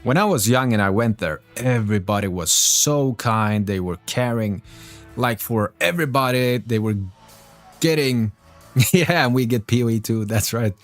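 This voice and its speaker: A man's voice: medium pitch, normal speed, faint music behind. A man from Greece and Sweden hosting a live stream, talking casually and earnestly about current events in English. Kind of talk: casual live political commentary.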